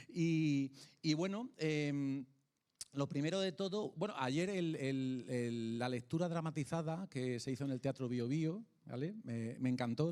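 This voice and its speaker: A man with a Peninsular Spanish accent giving an informal public lecture in a conversational, halting way, often checking in with short tag questions. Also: mid-range voice, darkish tone. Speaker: speaker, philosopher of science and author.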